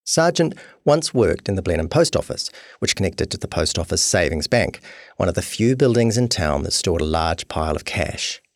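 A man's voice: deep voice, big pitch swings, moderate pace, dry recording, studio quality. A man reading a scripted true crime long-read as narrator. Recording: music behind voice. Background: guitar music.

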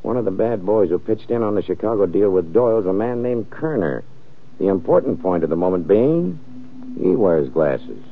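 An actor playing a hard-boiled, sardonic police lieutenant in an old-time radio crime drama. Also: male voice, sits low, very animated, moderate pace, heavily acted. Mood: sardonic, matter-of-fact. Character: wisecracking, world-weary police lieutenant, a man. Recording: muffled, narrow-band old radio recording.

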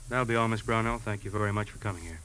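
An actor playing a polite but persistent police captain in 1950s radio drama style, matter-of-fact and brisk. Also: male voice, lowish voice, a bit bright, natural voice. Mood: brisk, courteous. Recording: noisy 1950s radio broadcast recording.

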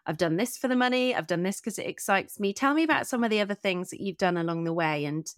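A woman's voice: medium pitch, expressive, fast talker, smooth, no echo, clean studio sound. A woman interviewing as a podcast host, reflective, building long questions out of repeated phrases. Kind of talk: conversational interview.

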